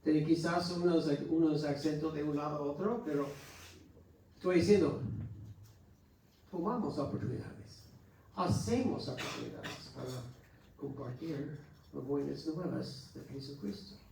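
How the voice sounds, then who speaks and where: American guy preaching sunday school, kinda high voice, far from the mic in a big echoey room. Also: gravelly, darkish tone, slight background noise. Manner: earnest, exhorting with halting restarts. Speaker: pastor. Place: church hall. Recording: echoey church hall from far off.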